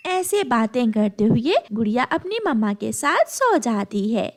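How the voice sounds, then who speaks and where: A woman's voice: on the high side, very animated, unhurried, slight background noise. A woman narrating a scripted children's story as the narrator.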